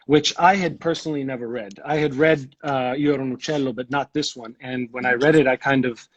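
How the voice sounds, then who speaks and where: A man's voice: medium pitch, fairly even intonation, fairly quick, highs cut off. A young man who grew up in Ethiopia, chatting as a guest, rambling with many restarts and fillers. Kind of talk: casual video-call chat.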